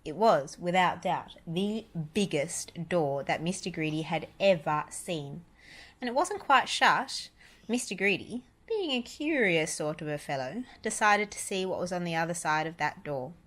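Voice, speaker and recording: A woman reading a children's story aloud, deliberate and emphatic on repeated descriptive words. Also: fairly low pitch, animated. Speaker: storybook reader, parent.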